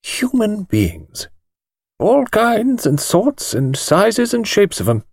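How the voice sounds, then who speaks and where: Elderly British gentleman lawyer: thin, high little voice, precise, musing, storybook mystery. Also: huge pitch swings, creaky, slightly rough, dry recording, clean studio sound, exaggerated voice. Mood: musing, deliberate. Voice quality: thin, small voice. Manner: precise, hesitant, deliberate.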